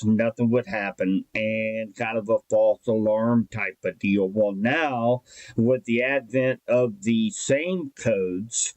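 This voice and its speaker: A middle-aged man with an American accent talks through recommendations like a local radio presenter. His medium-pitched voice is conversational and folksy, recorded dry with very little room sound. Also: a little mellow, dry recording, rough recording. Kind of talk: informal explainer and product recommendation.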